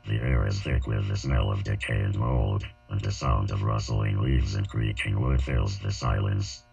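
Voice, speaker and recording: An extremely low, croaking male voice reads scripted horror narration. The recording is muffled lo-fi tape, with hum and faint music underneath. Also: steady tone, normal speed, a little mellow.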